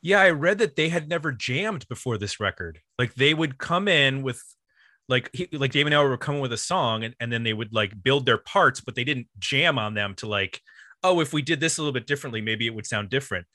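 A man with a bright, forward voice talks casually about music as a podcast host. He rambles and leans constantly on "like", and the recording is close and dry. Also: mid-range voice, lively intonation, a little fast, no echo. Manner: rambling, uses 'like' constantly.

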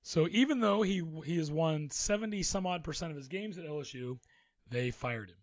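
A man's voice: on the high side, a little fast, dull audio. A man co-hosting a casual sports talk show, reading the news and then riffing with his own opinions. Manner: chatty, reads news then riffs with opinions. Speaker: podcast co-host.

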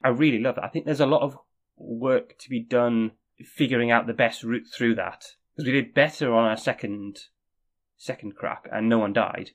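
A man co-hosting a casual podcast chat, friendly and a little halting, often restarting his sentences. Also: medium pitch. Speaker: podcast co-host.

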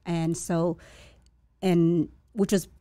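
A woman's voice: lowish voice, little pitch movement, very slow, warm tone, dry recording, studio quality. A young woman born to a Chinese family in Vietnam, speaking as an interview guest in a hesitant, reflective way with many restarts. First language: a Chinese dialect. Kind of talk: casual interview.

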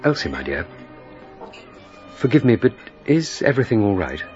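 An actor playing a courteous, devoted English country gentleman in a radio drama, tender and gentle in manner. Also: lowish voice, big pitch swings, normal speed. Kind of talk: period radio drama acting. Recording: dull recording with music behind. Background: music.

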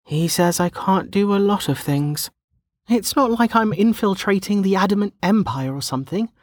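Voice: medium pitch, animated, normal speed, no room sound, clean studio sound, pitched above the performer's own voice, lightly acted. A narrator voicing a flustered, indignant young woman who protests bluntly, in an audiobook. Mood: exasperated. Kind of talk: audiobook character dialogue.

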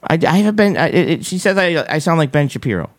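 Male live-show host, slightly high squeaky voice, casual but emphatic commentator, repeats for stress, dry close sound. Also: animated, fast talker, dry recording.

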